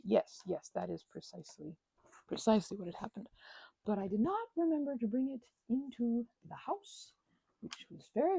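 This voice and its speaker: A woman's voice: medium pitch, creaky, warm tone, slightly rough, no room sound, poor audio. A woman chatting casually to viewers in a rambling, effusive way, often repeating words for emphasis. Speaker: crafting vlogger and ASMR creator.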